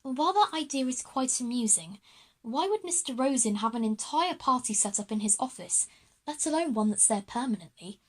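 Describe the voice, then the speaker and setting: A practical schoolgirl with a high voice takes charge of her classmates in a children's audio drama, played by a woman. She is businesslike and organised. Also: animated, moderate pace. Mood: sceptical.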